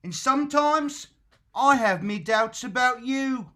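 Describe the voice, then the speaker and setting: A man playing a cheeky, excitable working-class attendant as comic relief in a radio play. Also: extremely high pitch, takes it slowly, very noisy, pitched above the performer's own voice, heavily acted. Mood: wry. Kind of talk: comic old-time radio play acting.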